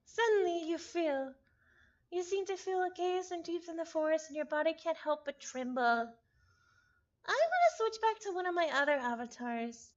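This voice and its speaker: A woman streamer reading a visual novel aloud, mixing expressive narration with casual asides. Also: very high voice, moderate pace, lo-fi sound.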